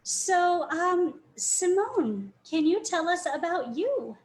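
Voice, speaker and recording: A woman hosts a live online chat among language teachers, sounding upbeat and keen as she welcomes everyone. Her voice sits high and she takes her time, speaking at a slow, unhurried pace. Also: very animated, low-quality recording. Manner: upbeat, enthusiastic. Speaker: host. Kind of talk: live video chat hosting.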